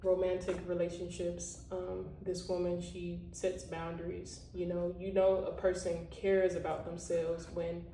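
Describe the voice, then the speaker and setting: A woman's voice: lowish voice, monotone, unhurried, hardly any pauses, warm tone, a little hiss or hum. A woman giving a casual motivational talk to her viewers, full of "you know" and "like.". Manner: conversational, heavy use of "you know" and "like". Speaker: vlogger. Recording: roomy echo, voice a bit far from the mic.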